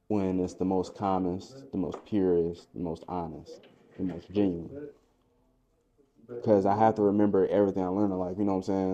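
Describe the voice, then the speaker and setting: Laid back young dude guest, low mellow voice, kinda muffled lo-fi audio. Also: male voice. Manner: reflective, laid-back, repeats phrases like "you know what I'm saying". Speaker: podcast guest. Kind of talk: casual interview chat. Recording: dull lo-fi sound.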